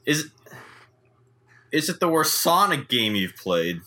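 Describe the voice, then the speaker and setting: A man's voice: on the high side, big pitch swings, slow, sharp tone. A young man co-hosting a casual podcast, making wry jokes and explaining things. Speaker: podcast co-host.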